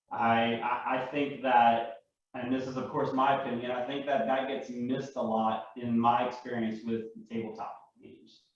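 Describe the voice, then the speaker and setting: Male hobbyist panel guest, casual storyteller, heavily echoing, muffled distant recording. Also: medium pitch. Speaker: live action roleplay enthusiast, panel guest. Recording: very echoey room, distant mic, muffled.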